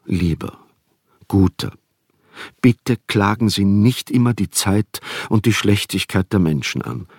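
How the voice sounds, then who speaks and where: A man's voice: on the low side, a little slow, breathy edge, no echo, clean studio sound. An actor playing a caring, cultivated literary friend who reads his letters emphatically and articulately in a radio play. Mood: urgent, admonishing.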